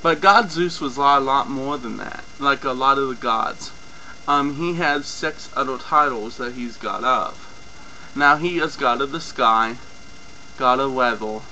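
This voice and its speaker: A young man casually explaining a topic off the cuff, with a lot of ums and self-corrections, his voice a little hoarse from a cold. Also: medium pitch, bright, forceful tone, highs cut off. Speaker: mythology video host. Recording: faint mains hum.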